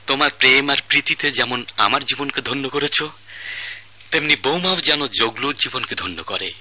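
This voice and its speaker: An actor playing a contented elderly husband who talks tenderly and poetically, in a radio drama. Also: male voice, medium pitch, moderate pace, pushed and bright, a little hiss or hum. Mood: fond. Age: older.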